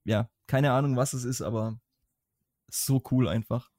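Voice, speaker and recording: A young man with a Swabian accent talks casually on a podcast, rambling along and often restarting his sentences. His voice sits at a middling pitch and is recorded dry and close, with no room sound. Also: fairly even intonation, unhurried, darkish tone, dry recording. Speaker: podcast co-host.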